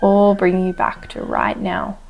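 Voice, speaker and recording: Female meditation guide. Flat, steady melody, noticeable vocal fry, gentle and unhurried delivery. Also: medium pitch. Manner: gentle, unhurried instruction with soft pauses. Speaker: yoga and meditation teacher. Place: outdoors on a wooden deck. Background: chickens and a rooster.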